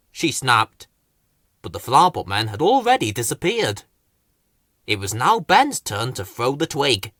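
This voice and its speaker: A woman reads a children's story in a low voice with hugely varied pitch. She takes her time over dramatic phrases. The recording is very dry. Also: fairly bright tone, dry recording. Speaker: storybook reader. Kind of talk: expressive scripted children's story narration.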